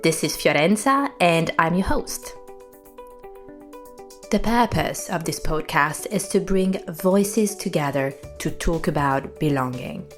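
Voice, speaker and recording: A woman's voice: low voice, animated, slow pace, soft background music. A woman from France hosting a podcast, speaking in an earnest, reflective monologue with frequent "you know" and "um". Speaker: podcast host.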